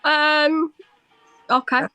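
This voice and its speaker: Woman, Manchester accent, young adult, live-stream host, easygoing, a little high-pitched. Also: very slow, dry recording, soft background music. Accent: Manchester English. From Manchester, England. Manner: relaxed, amused, often laughs. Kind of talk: casual live-stream chat.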